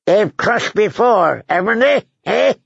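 A man's voice: high voice, lively intonation, slow pace, creaky, husky, dry recording, highs cut off, pitched above the performer's own voice, heavily acted. A man voicing a querulous, leering, wrinkled old lord in an audiobook, drawing out his words and breaking them up with querulous grunts. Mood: testy, mocking. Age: elderly. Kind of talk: audiobook character acting.

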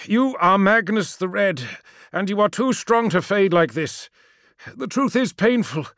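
Man playing loyal young-spirited warrior son, earnest pleading, deferential, urgent, raised pitch, dry close audiobook sound. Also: animated, a little slow, no echo. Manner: urgent, earnest, deferential, projecting over a storm.

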